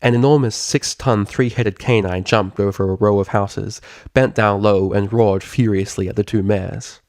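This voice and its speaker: Male story narrator, lower-pitched, expressive scripted reading, close dry sound. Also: normal speed, dry recording. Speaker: fan fiction reader and narrator.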